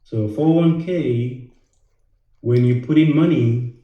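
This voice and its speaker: A man from Rwanda, a financial educator, talking casually to the camera, halting with many fillers and repeated words. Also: mid-range voice, narrow, tinny audio. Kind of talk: casual direct-to-camera talk. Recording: very echoey room.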